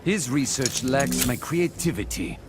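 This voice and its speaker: A man's voice: mid-range voice, expressive, a little slow, close to an everyday voice. A man playing a cold, conceited scientist villain in a video game, formal and superior. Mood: disdainful. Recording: game audio with loud noise.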